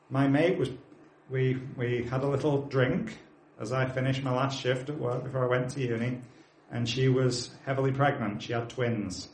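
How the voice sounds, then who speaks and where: A man's voice: mid-range voice, a little mellow, roomy sound. A man of forty with a British accent, preaching a sermon, earnestly urging his congregation and dropping in plain, friendly asides. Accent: British English. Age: 40s.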